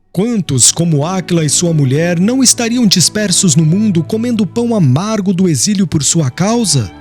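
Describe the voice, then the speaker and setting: A male narrator reads a spiritual novel in a measured, expressive way. His voice sits a little high and moves animatedly through each sentence. Soft music runs faintly underneath. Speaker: audiobook narrator. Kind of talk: scripted audiobook narration.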